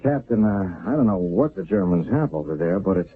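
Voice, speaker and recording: A husky, weary army sergeant. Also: male voice, deep voice, animated, brisk, full character voice. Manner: tense, urgent, reporting. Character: weary, earnest army sergeant back from battle. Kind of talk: 1970s radio drama acting. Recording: lo-fi old radio broadcast.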